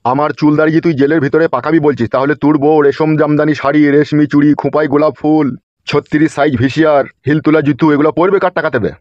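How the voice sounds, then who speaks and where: A man's voice: fairly high pitch, level tone, fast, darkish tone, dry recording, lightly acted. A man voicing foul-mouthed, quarrelsome officials, a politician and a policeman, in a comedy cartoon. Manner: coarse, argumentative. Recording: dull lo-fi audio.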